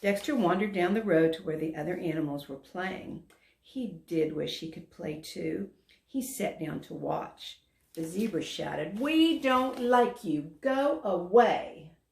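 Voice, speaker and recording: A woman narrates a children's picture book. Her voice sits on the low side with noticeable creak. She reads deliberately and expressively, and the room adds a clear echo. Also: darkish tone. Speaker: volunteer storyteller. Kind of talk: read-aloud children's story narration. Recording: echoey room.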